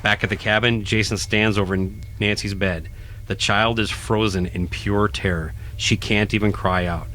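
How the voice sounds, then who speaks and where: A man reading scripted horror narration in a dramatic, deliberate storytelling manner. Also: lowish voice, fairly even intonation, bright, forceful tone, slight background noise. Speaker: narrator, podcast host.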